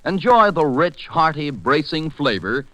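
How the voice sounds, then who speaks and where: A man's voice: a little high, very animated, unhurried, bright, forceful tone, no echo, rough recording. A man announcing in a polished, emphatic 1940s radio style, reading scripted sponsor copy and introductions on a vintage broadcast.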